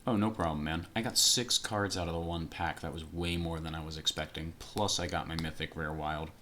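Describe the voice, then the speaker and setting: A man with a very low voice chatting casually as he builds a card deck on a late-night stream, thinking aloud with fillers, picked up by a sensitive desk mic. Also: fairly even intonation, fairly quick, sharp tone, slight background noise. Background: background music.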